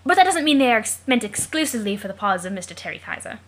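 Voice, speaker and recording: A woman's voice: fairly high pitch, big pitch swings, fast talker. A woman voicing a plucky, chummy young male adventurer with a put-on British accent and theatrical, old-fashioned wording. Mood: chummy, earnest.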